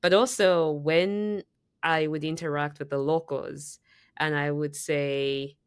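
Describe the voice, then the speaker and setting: A woman's voice: low voice, fairly even intonation, takes it slowly, smooth, no echo, studio quality. A woman from Zambia co-hosting a podcast, telling a story in casual chat with many fillers and restarts. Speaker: podcast co-host.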